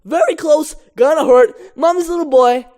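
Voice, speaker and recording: A man voicing an excitable, panicky young cartoon bee with loud, dramatic, drawn-out cries. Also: extremely high pitch, pitched above the performer's own voice. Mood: terrified.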